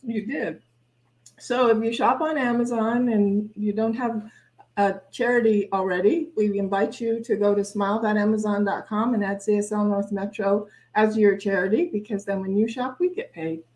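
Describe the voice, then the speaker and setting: A woman's voice: mid-range voice, moderate pace, darkish tone, low-quality recording. A woman host giving casual, chatty announcements for a spiritual service.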